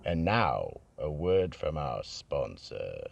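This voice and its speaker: A man playing the grave narrator of a radio mystery drama, telling the tale in a measured, solemn way with a gravelly, husky voice. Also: very deep voice, very animated, exaggerated voice.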